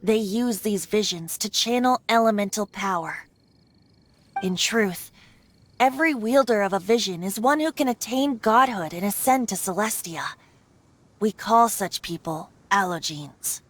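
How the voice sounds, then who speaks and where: A woman's voice: medium pitch, lively intonation, a little slow. An actress voicing a playful, carefree young male bard who is secretly a god, with a lilting, teasing way of talking. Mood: wistful. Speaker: voice actor in a game dub.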